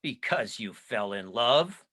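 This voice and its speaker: A man's voice: mid-range voice, expressive, slow, sharp tone, full character voice. A man playing a bitter old miser in a dramatic reading of a Victorian story, curt and scornful.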